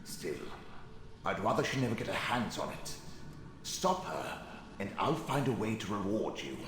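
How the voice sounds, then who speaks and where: A man's voice: fairly low pitch, very animated, moderate pace, fairly bright tone. A man playing the bitter, sardonic ghost of a murdered wizard in a video game, with a weary, theatrical delivery. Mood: weary, grave. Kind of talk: video game character acting. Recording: long ghostly reverb, some noise.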